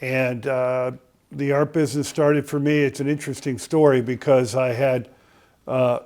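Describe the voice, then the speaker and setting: A man's voice: mid-range voice, level tone, normal speed, a little mellow. An older man from Connecticut, a podcast guest telling a long story, with many filler sounds and restarts. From Connecticut, USA.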